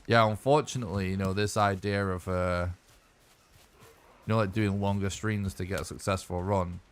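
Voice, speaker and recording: A man streaming a game and chatting casually, rambling as he thinks aloud, his voice going a bit hoarse. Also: deep voice, normal speed. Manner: rambling, relaxed, thinks aloud while playing. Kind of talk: casual live-stream gaming chat.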